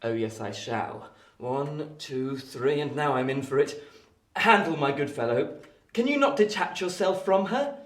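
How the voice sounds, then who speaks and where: An actor playing a genial, frank young Victorian gentleman, earnest and playfully teasing in an audio drama. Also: on the high side, expressive, a little slow. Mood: earnest, coaxing. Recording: roomy, echoing sound.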